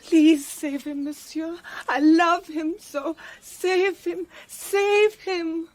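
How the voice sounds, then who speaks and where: A desperate devoted young lady, high voice, pleading melodrama, radio play. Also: fairly even intonation, extremely slow, exaggerated voice. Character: distraught, devoted young Frenchwoman, quick to accuse. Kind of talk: radio drama acting.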